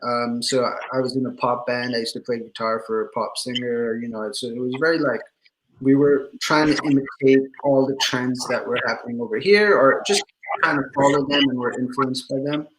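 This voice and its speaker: A young man from Pakistan talks easily and chattily at an ordinary middle pitch. His recording carries a clear layer of background noise. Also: moderate pace, low-quality recording. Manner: relaxed, chatty, laughs easily. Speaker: musician guest. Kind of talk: casual video interview.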